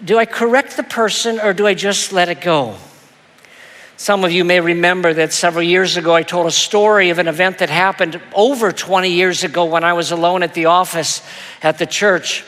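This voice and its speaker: High soft-voiced pastor guy, gentle and a bit self-mocking, big echoey church hall. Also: steady tone. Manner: gentle, self-deprecating, halting with repeats. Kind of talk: sermon storytelling. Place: church auditorium. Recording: long hall reverb.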